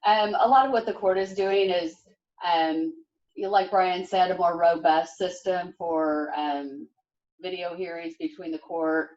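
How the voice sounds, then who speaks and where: A woman giving a report as a court official on a dull-sounding conference call, with hesitant pauses. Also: low voice, a little mellow. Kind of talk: meeting report.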